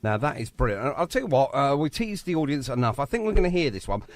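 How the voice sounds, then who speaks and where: A man's voice: mid-range voice, big pitch swings, fast talker. A man hosting a live radio show in a studio, chatting in an enthusiastic, effusive way. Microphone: studio microphone.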